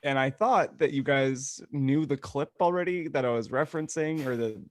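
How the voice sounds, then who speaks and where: A man's voice: medium pitch, normal speed. A man hosting a podcast in a casual, playful, joking style. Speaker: podcast host. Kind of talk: casual comedic chat.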